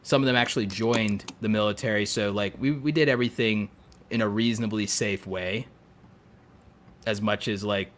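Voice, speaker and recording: A man with a fairly low voice talks casually on a podcast. He is a geeky, animated storyteller who jokes and repeats phrases for emphasis. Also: normal speed, slight background noise. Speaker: podcast co-host. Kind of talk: casual chat.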